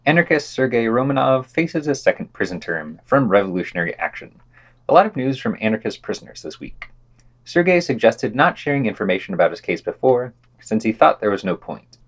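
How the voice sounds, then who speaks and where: A man's voice: medium pitch, big pitch swings, fairly quick, no room sound. A man presenting a podcast, reading a scripted essay and news items aloud.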